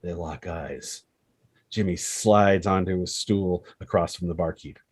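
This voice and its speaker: Low, husky male script narrator. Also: fairly even intonation, a little slow, a little mellow, no echo, studio quality. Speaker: narrator reading stage directions.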